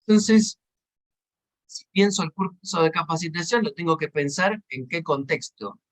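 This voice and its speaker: A man's voice: on the high side, unhurried, a bit bright. A man with an Argentine accent teaching a seminar informally, explaining conversationally and checking in with short tag questions. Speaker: seminar instructor, consultant.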